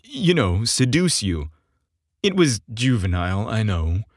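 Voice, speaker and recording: Man reading earnest, hesitant young romance hero, slow-paced. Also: lowish voice, very animated, no room sound, studio quality. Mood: apologetic. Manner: hesitant, sincere. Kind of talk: audiobook dialogue.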